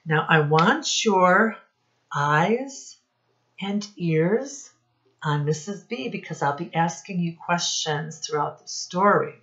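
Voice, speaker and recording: Middle-aged female preschool teacher, low and unhurried, instructive delivery for small children, slightly muffled webcam recording. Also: animated, fairly bright tone. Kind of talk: read-aloud lesson addressed to young children. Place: small indoor room with posters.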